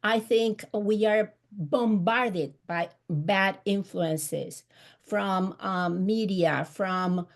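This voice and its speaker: A middle-aged woman with an Argentine Spanish accent who talks at an unhurried, deliberate pace. Her pitch sits in an ordinary middle range. She answers interview questions earnestly, stopping often to search for the right word. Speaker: author guest. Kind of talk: live video chat interview.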